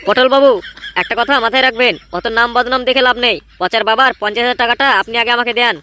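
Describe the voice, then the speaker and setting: A bright, forceful cartoon gang boss voiced by an actor who talks extremely fast. He blusters and complains, always irritable and quick to get flustered. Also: mid-range voice, fairly even intonation, slight background noise, natural voice. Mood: demanding. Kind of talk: comedy cartoon dub.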